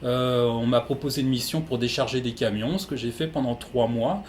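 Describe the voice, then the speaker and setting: A man's voice: mid-range voice, normal speed, darkish tone, slight background noise. A man working in human resources, giving methodical, practical advice to newcomers in an interview.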